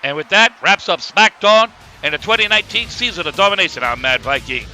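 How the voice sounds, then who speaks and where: A man acts as an excitable play-by-play wrestling announcer in a cartoon. His voice is very high for a male, delivering scripted commentary over clearly audible music. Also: brisk, full character voice. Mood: signing off.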